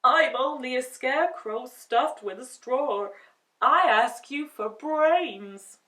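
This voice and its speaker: A woman's voice: high voice, expressive, slow, bright, forceful tone, recorded from a distance, voice pushed up, heavily acted. A woman voicing a humble male scarecrow stuffed with straw, who speaks in a moaning way. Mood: glum. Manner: moaning, mournful. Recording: echoey room, voice off-mic.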